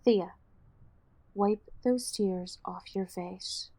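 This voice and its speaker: An actress playing a poised, firm, wealthy mother in an audio drama, speaking in a measured, commanding way. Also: medium pitch, darkish tone. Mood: stern.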